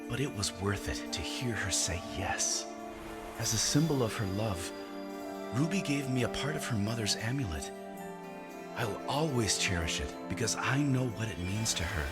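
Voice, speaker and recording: Fairy-tale game: romantic young male lead, dramatic, animated mid-range voice over music. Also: lowish voice. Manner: earnest, dramatic. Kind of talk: fantasy game voice acting.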